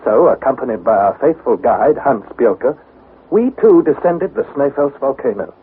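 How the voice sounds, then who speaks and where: Earnest young explorer-narrator, dramatic and widely inflected, scripted radio drama, tinny hissy broadcast. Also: male voice, medium pitch, big pitch swings, slightly rough. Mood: dramatic recounting. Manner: measured, dramatic storytelling. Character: earnest young male explorer recounting his expedition.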